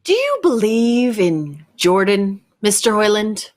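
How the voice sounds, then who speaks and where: A woman playing a knowing, imperious rebel captor who speaks deliberately and probingly in a radio sci-fi drama. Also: mid-range voice, very animated. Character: commanding, knowing rebel captor challenging orthodox belief. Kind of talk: cold-read old-time radio sci-fi drama.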